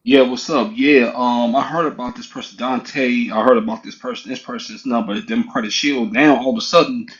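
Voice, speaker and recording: A man's voice: mid-range voice, fairly even intonation, brisk, hardly any pauses, muffled recording. A middle-aged man speaking up in an audio room, arguing assertively in a rambling, repetitive way. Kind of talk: casual heated argument.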